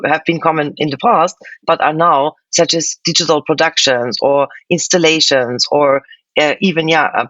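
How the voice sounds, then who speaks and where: A woman's voice: low voice, fairly bright tone, no room sound, lo-fi sound. A woman from Austria, a museum curator, answering interview questions thoughtfully with frequent fillers and restarts. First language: German.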